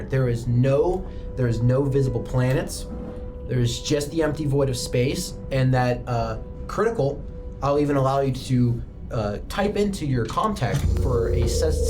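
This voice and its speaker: A man running a tabletop roleplaying game, narrating scenes casually with fillers and bursts of laughing excitement. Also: medium pitch. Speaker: game master. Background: music.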